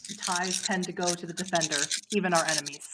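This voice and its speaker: A woman with a slightly low voice leads a tabletop roleplaying session as game master. She describes scenes to her players and explains the rules as she goes. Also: steady tone, fast, noisy background.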